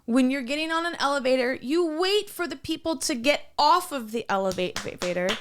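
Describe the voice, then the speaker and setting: A woman with a high voice that swings widely cohosts a casual podcast. She is expressive and profane and laughs easily. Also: normal speed, noisy background. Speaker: podcast co-host. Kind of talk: casual comedy chat.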